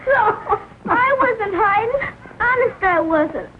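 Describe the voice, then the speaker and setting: A man's voice: very high-pitched, lively intonation, takes it slowly, heavily acted. A young male actor playing an anxious, guilty small-town boy, speaking hesitantly in a 1940s film style. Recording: tinny, noisy old 1940 film soundtrack.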